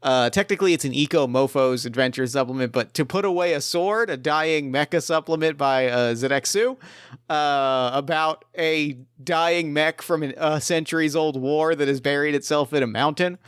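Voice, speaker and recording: A man's voice: a little high, normal speed, fairly bright tone, smooth, no echo, studio quality. A man hosting as game master, improvising narration and chatting casually about a tabletop roleplaying game. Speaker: podcast host and game master.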